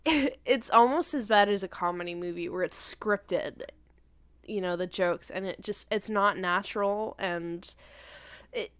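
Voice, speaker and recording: Young woman podcast co-host, giggly, slightly nasal, smooth dry voice. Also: mid-range voice, takes it slowly, a bit bright, no echo. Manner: giggly, laughs often. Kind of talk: casual chat.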